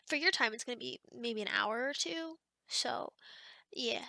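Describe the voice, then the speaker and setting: A woman's voice: on the high side, lively intonation, unhurried, creaky, pushed and bright, no room sound, exaggerated voice. A woman playing an awkward, chatty young sleep paralysis demon in an ASMR roleplay, rambling hesitantly and often dropping toward a whisper. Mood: hesitant, reassuring.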